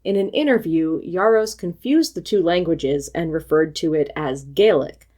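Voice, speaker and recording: A woman from the United States narrates a scripted book review. She speaks in a measured, articulate way and sharpens into pointed critique, her voice sitting a little low. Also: warm tone. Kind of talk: scripted video essay.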